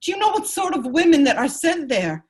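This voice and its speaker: A woman's voice: high voice, big pitch swings, a little fast, darkish tone. A woman playing an anguished, proud wife, earnest and pleading, in a radio play table read. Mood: hurt, indignant.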